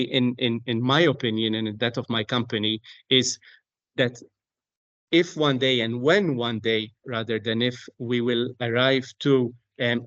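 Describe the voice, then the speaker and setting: Man, low-pitched and close-sounding, deliberate and halting technical explainer, repeats words mid-phrase. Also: fairly bright tone, dry recording, highs cut off. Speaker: aviation technology company expert, interview guest. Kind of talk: explanatory interview talk on a technical subject.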